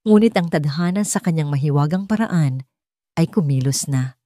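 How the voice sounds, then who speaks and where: A woman's voice: low voice, lively intonation, normal speed, mellow, no echo, clean studio sound. A woman with a Filipino accent reading a scripted dramatic story as an audiobook narrator.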